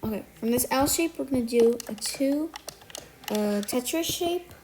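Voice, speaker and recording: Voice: high-pitched, animated, unhurried, darkish tone, slight background noise. A tutorial maker who is a bit sick today talks step by step through a building tutorial, counting out blocks and correcting small slips along the way. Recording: music playing under the voice.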